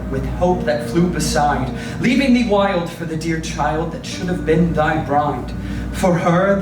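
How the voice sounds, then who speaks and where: A man giving a dramatic, declamatory reading of poetry in a theatrical manner. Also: a little high. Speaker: performer of poetry. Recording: roomy, distant, noisy recording.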